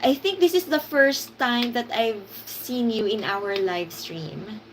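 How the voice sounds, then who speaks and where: Woman stream host, higher register, slow easy delivery, greets commenters by name. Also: a bit bright, slight background noise, poor audio. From Philippines. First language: Hiligaynon. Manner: unhurried, reads out viewer comments and greets them. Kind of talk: casual live stream chat.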